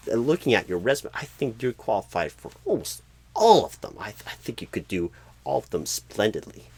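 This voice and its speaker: Halting, swooping, bumbling job recruiter. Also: male voice, mid-range voice, vocal fry, slightly rough, heavily acted. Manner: halting, stammering, odd pauses and sudden swoops. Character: bumbling, clueless, flustered male job recruiter, celebrity impression. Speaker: ASMR roleplay performer. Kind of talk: ASMR comedy roleplay.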